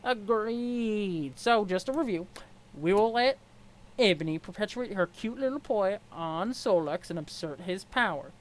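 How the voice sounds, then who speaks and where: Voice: mid-range voice, lively intonation, a little slow, lightly acted, low-quality recording. A performer voicing a brash, scheming young female alien with a sassy, sarcastic and pushy way of talking. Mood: scheming, breezy. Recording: clear background noise.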